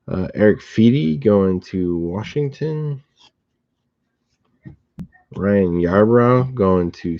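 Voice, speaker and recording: A slightly hoarse man with a deep, mellow voice walks through a box break at an easy, unhurried pace. He reads out each card and its recipient in steady, relaxed commentary. The sound is dry, dull and lo-fi. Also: no room sound.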